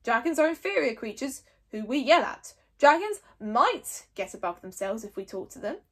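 A man's voice: extremely high pitch, sing-song, normal speed, fairly bright tone, husky, heavily acted. A woman voicing a male Viking warrior who tries to sound noble and dignified. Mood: pompous. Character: pompous Viking warrior, noble-minded and boastful.

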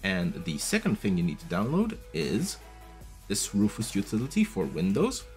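Deep-voiced man explaining a tech fix. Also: huge pitch swings, moderate pace, darkish tone, faint music behind. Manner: chatty, patient step-by-step explaining. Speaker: tech video host. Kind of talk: casual tutorial walkthrough. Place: small room at a desk. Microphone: studio mic on a boom arm, wearing headphones.

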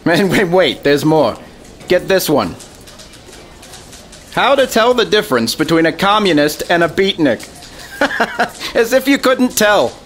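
An actor playing a goofy, teasing, excitable government agent in a comic radio drama. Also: male voice, high voice, a little slow, long pauses, slight background noise, exaggerated voice. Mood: amused. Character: goofy, needling male special agent.

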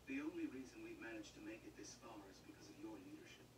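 Man voicing caring young anime soldier, loyal, lowish pitch, distant noisy TV playback. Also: quick pace, echoey room, natural voice. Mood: warm, concerned. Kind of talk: dubbed anime drama acting. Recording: TV playback picked up across a noisy room.

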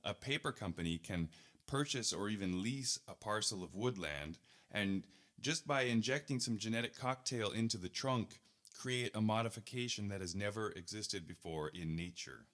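A man's voice: fairly low pitch, expressive, moderate pace, fairly bright tone. A man reading his own article aloud as scripted narration, in an earnest, pointed manner with rhetorical asides.

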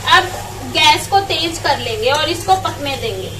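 A woman's voice: high-pitched, animated, a little slow, recorded from a distance, background noise. A woman explaining a recipe step by step as she cooks in her home kitchen. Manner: step-by-step instructing. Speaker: home cook vlogger. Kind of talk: cooking demonstration.

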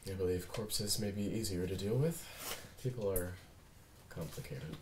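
A man acting a calm, precise android detective in a scripted drama read, speaking in a measured, formal way. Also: low voice, background noise, lightly acted. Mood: calm, reserved. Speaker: actor reading an android detective at a table read.

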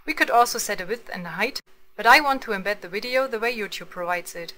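A woman reading scripted screencast narration as a tutorial narrator, in a measured, instructional manner. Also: mid-range voice, sharp tone.

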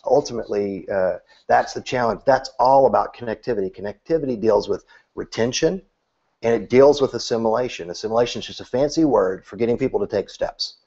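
A man's voice: on the low side, creaky, slightly rough. A man in his thirties giving church marketing advice on a video call, earnest, with frequent restarts. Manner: earnest, animated, gestures with both hands; repeats words when restarting. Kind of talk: interview answer, advice. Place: small room, seated on a couch. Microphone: video call webcam. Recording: dull lo-fi webcam sound.

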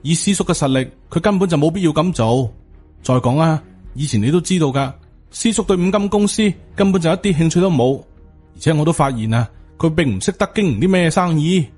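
Man voicing a pleading young disciple, raised pitch. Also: lively intonation, brisk, darkish tone, slightly rough, soft background music. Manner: pleading, reasoning, deferential. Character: earnest, respectful young male Taoist disciple.

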